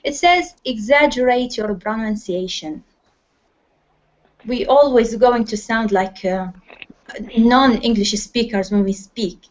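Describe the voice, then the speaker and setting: A woman's voice: fairly high pitch, takes it slowly. Iraqi woman teaching over a call. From Iraq. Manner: hesitant, many fillers and restarts. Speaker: English class teacher. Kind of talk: online class instruction. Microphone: computer mic on a video call. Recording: lo-fi online call audio.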